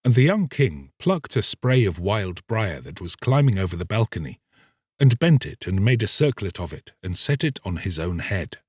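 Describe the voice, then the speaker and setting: Guy reading a classic fairy tale audiobook, measured, really varied pitch, super dry sound. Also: big pitch swings, breathy edge, no room sound. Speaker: audiobook narrator. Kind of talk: scripted literary storytelling.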